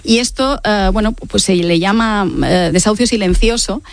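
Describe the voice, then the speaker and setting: A woman's voice: medium pitch, moderate pace, no echo. A woman in her late forties with an accent from Spain, answering interview questions in a reflective, explanatory way with frequent tag questions. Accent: Spanish from Spain. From Mallorca, Spain. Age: late 40s. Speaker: author, interview guest.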